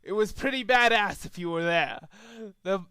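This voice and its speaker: A man's voice: high voice, normal speed, bright, forceful tone, clear, resonant tone, dry recording. A man reading a story aloud as a dramatic amateur narrator, sometimes stumbling over the words. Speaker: amateur fan fiction reader.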